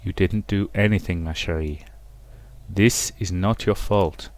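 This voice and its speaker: A man voicing a gentle, protective man in a visual novel, speaking softly and coaxingly to console someone. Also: low voice, fairly even intonation. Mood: tender, reassuring. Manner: soft, slow, coaxing. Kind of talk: dramatic reading of visual novel dialogue.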